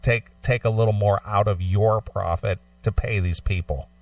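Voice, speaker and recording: Deep-voiced man explaining, slightly off-mic. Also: fairly even intonation, a little mellow, no echo, recorded from a distance. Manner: explanatory, halting with repeated phrases. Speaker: talk show co-host. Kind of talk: casual opinionated radio discussion.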